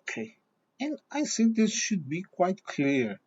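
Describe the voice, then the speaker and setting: A man's voice: high voice, huge pitch swings, slow pace, a little mellow, husky, dry recording. Man. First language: Portuguese and German. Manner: hesitant with many restarts, fillers and repeated "okay?". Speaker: vlogger. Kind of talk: opinion talk to camera. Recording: slightly dull lo-fi sound.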